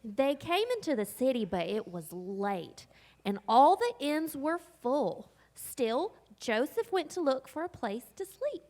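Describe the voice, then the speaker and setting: A woman as a high-voiced, prim schoolteacher. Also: very animated, measured pace, darkish tone. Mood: storytelling. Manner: formal, fussy, careful announcing. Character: prim, flustered middle-aged spinster schoolteacher. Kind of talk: live comic stage drama.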